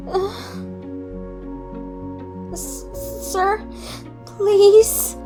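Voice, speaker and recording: A woman voicing a gentle, frightened young heiress in a dramatic comic dub, speaking politely and earnestly. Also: very high voice, exaggerated voice. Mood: terrified, pleading. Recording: voice over background music.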